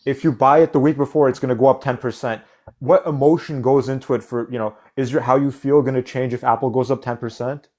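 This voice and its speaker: A man's voice: medium pitch, steady tone, quick pace, darkish tone. Blunt man hosting a live call-in stream. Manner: blunt, impatient, cuts callers off. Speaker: livestream host taking callers.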